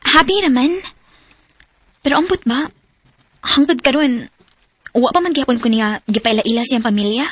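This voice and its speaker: A woman's voice: on the high side, moderate pace, pauses often, breathy edge, dry recording. An actress playing Trisha, a young woman who learns her boyfriend is married, in a radio drama. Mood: uneasy. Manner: soft and hesitant, then anguished and shouting.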